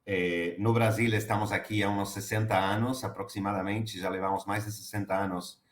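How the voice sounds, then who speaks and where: A man's voice: fairly low pitch, steady tone, moderate pace, reverberant. A middle-aged man from Argentina with an Argentine Spanish accent, answering interview questions with many fillers and restarts. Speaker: guest, HR director.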